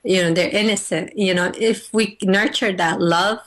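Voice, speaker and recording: A woman's voice: mid-range voice, fairly even intonation, moderate pace, a bit bright. A middle-aged woman from Afghanistan, a teacher and author, talking as a guest in a radio interview, with many restarts and fillers.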